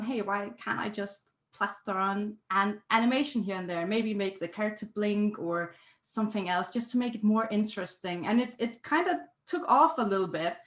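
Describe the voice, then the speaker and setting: A woman's voice: medium pitch, a bit bright. A woman with a Danish accent giving a chatty, upbeat webinar presentation over a video call, laughing often. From Denmark. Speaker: guest artist presenter.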